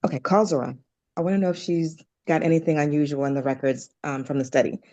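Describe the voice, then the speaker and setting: A woman playing a concerned, firm doctor in a drama table read, speaking in a measured, earnest way. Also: sits low, steady tone, warm tone. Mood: worried, resolute.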